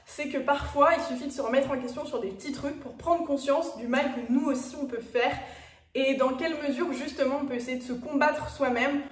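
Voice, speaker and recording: Chatty Parisian young woman, high voice. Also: fairly even intonation, brisk, roomy sound, far from the mic, soft background music. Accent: Parisian French. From France. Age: 20s. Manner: chatty, direct address to viewers, frequent fillers. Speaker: YouTuber. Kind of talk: casual personal vlog talk.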